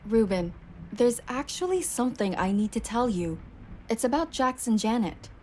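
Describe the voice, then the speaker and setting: An actress plays an earnest young woman journalist in a video game. Her voice sits a little high and she speaks with a probing, natural delivery. There is faint noise behind her. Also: lively intonation, moderate pace, a little mellow, natural voice. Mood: hesitant. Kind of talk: video game dialogue acting.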